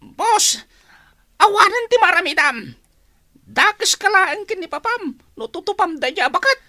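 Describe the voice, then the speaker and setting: Very high, bright, excitable underling. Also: female voice, unhurried, no room sound, studio quality, full character voice. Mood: alarmed, cautioning. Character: excitable worried underling to a gang boss. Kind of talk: Ilocano radio drama acting.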